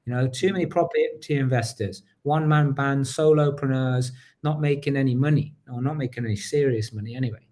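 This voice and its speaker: A man's voice: medium pitch, brisk, hardly any pauses. A man hosting a live online talk show, talking energetically to viewers and his guest, often repeating himself. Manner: energetic, repeats himself, asks the audience to engage. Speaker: host.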